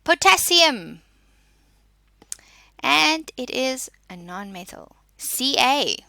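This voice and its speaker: A woman giving a spoken lesson as a teacher, reading out and explaining in short, deliberate, instructive statements. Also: sits high, very animated, vocal fry, sharp tone, dry recording, studio quality.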